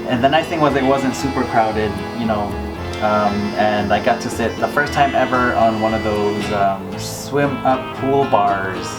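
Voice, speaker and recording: A man's voice: lowish voice, a little slow, far from the mic. A man whose first language is Cebuano, co-hosting a casual travel chat. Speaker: travel podcast co-host. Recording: off-mic, guitar music behind. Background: acoustic guitar music.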